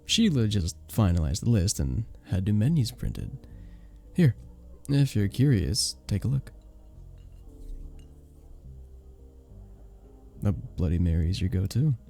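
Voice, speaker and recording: A man playing a charming, flirtatious vampire bartender in an ASMR roleplay, speaking to the listener with teasing, unhurried pauses. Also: on the low side, big pitch swings, a little mellow. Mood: courteous, flirtatious.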